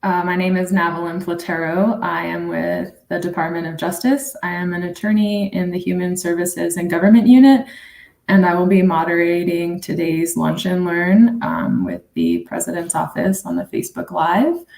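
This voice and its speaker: Woman moderator, low gravelly voice, echoey room, friendly with many ums. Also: normal speed, darkish tone. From Navajo Nation, New Mexico. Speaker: attorney and moderator. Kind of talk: moderating a live panel.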